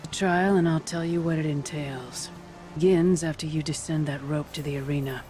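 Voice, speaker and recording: A woman's voice: deep voice, fairly even intonation, moderate pace, mellow, lightly acted. An old huntress who trains others, played by a woman talks with wry pride, like a seasoned storyteller in a game drama. Faint music sits behind the voice. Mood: proud, instructive. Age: older. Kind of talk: video game dramatic dialogue.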